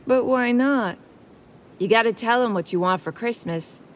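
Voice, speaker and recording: A man's voice: very high-pitched, normal speed, a bit bright, slight background noise, pitched above the performer's own voice. A man voicing a pleading, sulky little girl with a whiny, childlike way of talking in a told story. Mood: curious, insistent.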